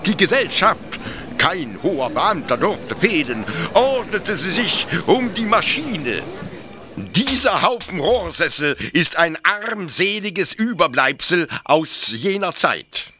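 Over noisy insect ambience, a zealous male officer devoted to a brutal old order. He speaks slowly and theatrically, sweeping from hushed confidences to exalted declamation, full of fierce nostalgia in a radio play. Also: high voice, sing-song, full character voice. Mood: proud, exalted. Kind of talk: radio drama monologue.

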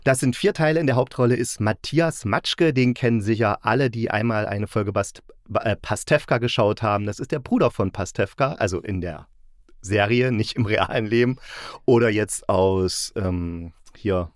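Low-pitched man chatting on a podcast. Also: normal speed, dry recording, studio quality. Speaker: podcast host reviewing radio plays. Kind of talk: casual podcast chat.

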